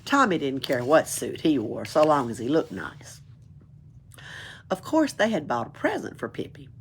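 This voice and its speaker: Low, lively, creaky woman storyteller. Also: moderate pace, a little hiss or hum. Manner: expressive storytelling delivery. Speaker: library storyteller. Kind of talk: reading a children's book aloud.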